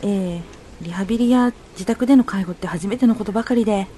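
A woman's voice: mid-range voice, a little fast, mellow, slight background noise, close to an everyday voice. A woman playing a polite, subdued, worried working wife in an amateur radio drama.

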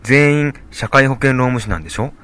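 A man playing a calm, supportive young father and husband in a radio drama, speaking in a low-key, casual way. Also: fairly quick, natural voice. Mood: thoughtful. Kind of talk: amateur radio drama dialogue.

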